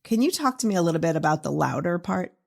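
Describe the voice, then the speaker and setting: A woman in her fifties hosting a casual, chatty interview, telling stories in an animated way and quick to laugh. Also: fairly low pitch, creaky. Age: 50s. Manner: animated storytelling, laughs readily, quotes herself and others. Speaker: podcast host interviewing an author.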